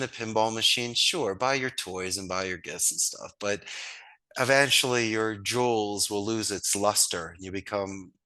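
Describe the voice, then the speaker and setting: A man tells stories with enthusiasm and homespun sayings. His voice sits a little low yet sounds bright and forward. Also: poor audio. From Northeast Philadelphia, USA. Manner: enthusiastic, storytelling, folksy sayings. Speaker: guest, call center founder. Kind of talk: casual interview talk.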